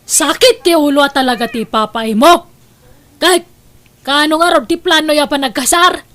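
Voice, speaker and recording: A woman's voice: sits high, normal speed, fairly bright tone. A woman playing an exasperated, scolding middle-aged mother of a grown son in an audio drama.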